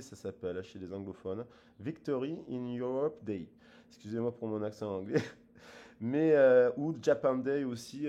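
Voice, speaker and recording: Hesitant, mellow-voiced man reading tarot. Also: medium pitch. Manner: rambling, hesitant, many fillers and restarts. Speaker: tarot reader. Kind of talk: casual spoken tarot reading.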